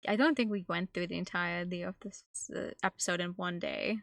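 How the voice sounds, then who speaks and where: A woman's voice: lowish voice, steady tone, fairly quick, creaky, no room sound, studio quality. A woman live streaming a game, chatting casually and informally with her viewers. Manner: informal, conversational, talking to viewers. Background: background music.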